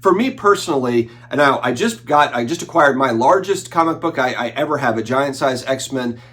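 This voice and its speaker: A man's voice: medium pitch, lively intonation, moderate pace, a bit bright. A man in his forties, sick with the flu and a bit nasal, hosting a collectibles channel in a casual, rambling chat with fillers and restarts. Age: 40s. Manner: conversational, frequent fillers and restarts. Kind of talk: casual talking-head commentary.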